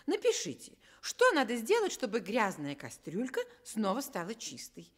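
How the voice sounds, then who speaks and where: A woman presenting a scripted Soviet children's radio programme, gently explaining things to small children as the grown-up host. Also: on the high side, huge pitch swings, normal speed.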